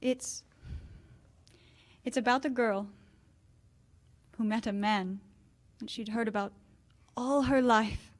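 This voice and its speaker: An actress playing an earnest, pained young former lover, hesitant and pleading, in 1940s radio drama style. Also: female voice, fairly high pitch, fairly even intonation, takes it slowly, long pauses. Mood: earnest, wistful.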